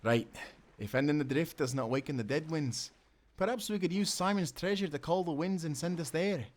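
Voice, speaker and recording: An actor playing a loyal, tender sailor in a nautical audio roleplay, speaking in an earnest, gentle way. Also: male voice, fairly high pitch, animated, normal speed, close to an everyday voice. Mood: curious, sympathetic. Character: loyal, tender young sailor, devoted companion.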